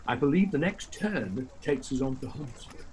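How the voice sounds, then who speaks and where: Man acting a husky, genial older gentleman. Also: mid-range voice, lively intonation, moderate pace, low-quality recording. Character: genial, easily impressed older gentleman father.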